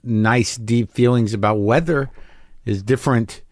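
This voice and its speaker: A man's voice: mid-range voice, unhurried, no echo, clean studio sound. Teasing man in his fifties. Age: 50s. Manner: teasing, wisecracking, blunt. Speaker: podcast host, interviewer. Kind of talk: casual interview.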